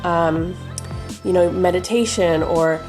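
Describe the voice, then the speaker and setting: A woman who teaches intuition talks casually to camera in an advice video. Her voice is hoarse and froggy from allergies, and she speaks loosely with fillers and restarts. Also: lowish voice, slow, music in the background. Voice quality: raspy.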